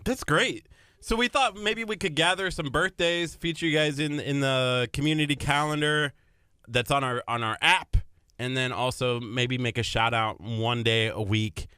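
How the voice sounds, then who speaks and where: A man's voice: medium pitch, normal speed, sharp tone, clear, resonant tone, dry recording. A man co-hosting a live morning radio show in a studio, giving short agreeing replies, often repeating himself and laughing along. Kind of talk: casual live radio chat. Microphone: studio microphone.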